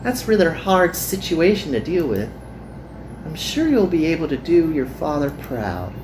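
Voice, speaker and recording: A woman voicing a kind, earnest young magical girl in a visual novel, with gentle and formal phrasing. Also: low-pitched, sing-song, moderate pace, noisy background. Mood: sympathetic.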